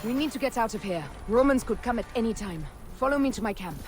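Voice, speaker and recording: A woman's voice: a little high, lively intonation, fast, over music, natural voice. An actress playing a wary, commanding woman fighter, terse and serious, in a video game drama. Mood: businesslike, urgent.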